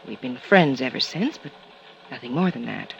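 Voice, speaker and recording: A man's voice: mid-range voice, lively intonation, normal speed, creaky, fairly bright tone. A man voicing a prim, nervous female secretary in a 1950s radio drama, speaking in a hesitant, careful way. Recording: dull old radio recording with hiss.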